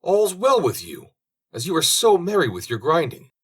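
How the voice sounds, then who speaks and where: A man reading as a naive young storybook hero with a lively, swinging pitch. He is cheerful, trusting and easily delighted. His earnest exclamations come in a slightly husky voice. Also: normal speed, exaggerated voice.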